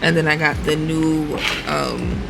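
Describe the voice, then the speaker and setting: A woman's voice: low voice, fairly even intonation, slow, vocal fry, music in the background, poor audio. A woman vlogger chatting casually to her viewers, slangy and talkative, with a congested voice and a sore throat. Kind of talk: casual vlog chat to camera.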